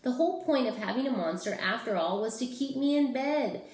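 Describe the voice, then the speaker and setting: A woman teacher reading a picture book aloud to young children with gentle, expressive storytelling. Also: fairly high pitch, a little fast. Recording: roomy echo, faint background noise.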